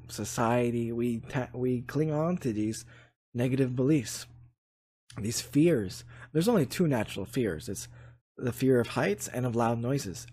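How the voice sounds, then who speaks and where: Husky guy talking to his channel, earnest and reflective, lots of "you know". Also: mid-range voice, darkish tone. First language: Polish is not his first language. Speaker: channel host. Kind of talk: casual spiritual vlog talk.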